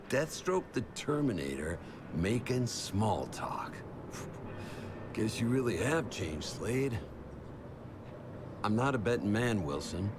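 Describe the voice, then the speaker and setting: A military squad mate for a video game. He talks in a fairly low voice, blunt and slangy, always ribbing his comrade. There is clear noise behind him. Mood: wry, grudging. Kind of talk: video game character dialogue.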